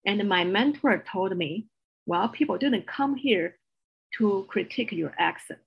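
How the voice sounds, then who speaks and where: A woman with a strong Chinese accent speaks with earnest, explanatory care, her non-native grammar showing. Her voice is somewhat husky. The audio sounds slightly dull. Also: mid-range voice, expressive, a little slow. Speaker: guest, executive coach. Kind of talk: podcast interview.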